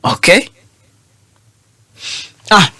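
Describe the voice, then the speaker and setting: A Ugandan man hosting a show, giving animated and teasing political commentary that switches languages mid-sentence. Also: very slow, no echo.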